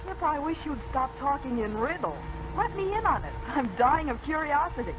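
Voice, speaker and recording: A spirited young reporter, noisy recording. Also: female voice, sits high, sing-song, moderate pace. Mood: pert, curious. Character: spirited young female newspaper reporter, curious, quick-witted. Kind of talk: 1940s radio acting. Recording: noisy muffled old radio recording.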